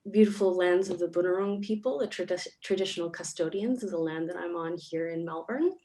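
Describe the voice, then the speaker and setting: A woman's voice: on the low side, a little fast, mellow, slight background noise. A Canadian woman, a panelist and arts researcher, speaking thoughtfully over a video call with many fillers. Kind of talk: panel discussion remarks. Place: home or office in Melbourne.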